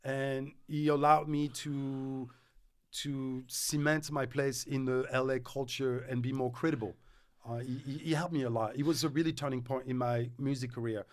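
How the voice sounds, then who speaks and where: A middle-aged man with a French accent, a DJ talking as a guest on a casual podcast, animated and restarting his words as he tells stories. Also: mid-range voice, moderate pace. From France.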